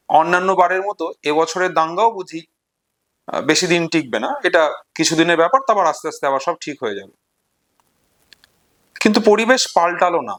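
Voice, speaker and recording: A man giving an informal literary lecture and retelling stories, in deliberate, measured phrases broken into short segments. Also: sits high, a bit bright. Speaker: literature explainer and presenter.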